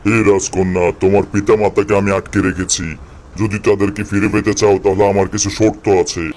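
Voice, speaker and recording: A man plays a menacing cartoon jinn holding captives and making demands. The voice is fairly low and quick, commanding, over faint noise. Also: flat delivery, a little mellow, natural voice. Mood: threatening. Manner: commanding, threatening. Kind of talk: children's cartoon story acting.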